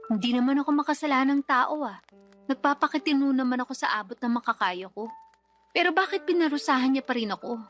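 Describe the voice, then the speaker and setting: A woman's voice: high voice, quick pace, fairly bright tone. A woman playing an exhausted, resentful young single mother in a radio drama, over faint music. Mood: weary. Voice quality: weary, complaining, defensive. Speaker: the letter sender in the scene. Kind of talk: Tagalog radio drama acting. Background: faint background music.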